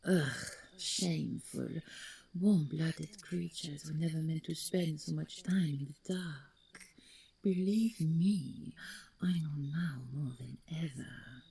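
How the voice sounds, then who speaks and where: A woman playing the vain, taunting ghost of a deposed dragon queen in an audio roleplay, speaking theatrically and mockingly with a breathy voice that slips into whispers. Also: slow, mellow, full character voice. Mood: bitter. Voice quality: low, breathy, husky, creaky. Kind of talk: dramatic audio roleplay monologue.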